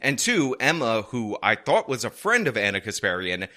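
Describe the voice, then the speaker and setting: A man's voice: mid-range voice, big pitch swings, moderate pace, pushed and bright. A man hosting a commentary show, scornful and emphatic as he picks apart a video clip. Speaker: political commentary host.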